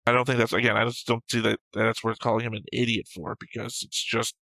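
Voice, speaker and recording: Casting: male co-host, forceful bright tone, argumentative and emphatic, casual swearing, close dry sound. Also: mid-range voice, little pitch movement, fast talker, vocal fry, no echo. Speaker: co-host of an anime reaction show. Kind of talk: casual opinionated discussion.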